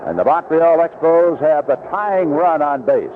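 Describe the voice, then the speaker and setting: A man's voice: fairly high pitch, very animated, unhurried, phone-quality sound, a little hiss or hum. A man calling baseball play-by-play live from a ballpark booth on a 1970s radio broadcast.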